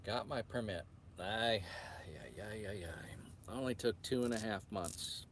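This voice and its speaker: A man's voice: on the low side, unhurried, a bit bright, a little hiss or hum. A man chatting casually to viewers as a truck driver vlogger, rambling and often restarting his sentences in a hesitant, meandering way. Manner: hesitant, meandering, self-interrupting.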